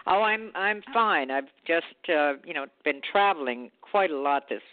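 A woman from Norway, chatting graciously and at an unhurried pace as a guest on a call-in show, with no trace of an accent. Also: low-pitched, lively intonation, no room sound. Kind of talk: casual call-in chat. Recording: tinny phone line.

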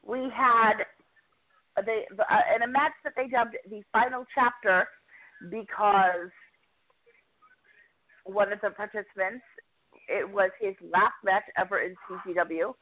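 A woman reporter giving a chatty, enthusiastic recap of a wrestling show, quick to poke fun at herself. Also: medium pitch, steady tone. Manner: chatty, enthusiastic, self-deprecating. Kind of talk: casual podcast recap. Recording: tinny phone line.

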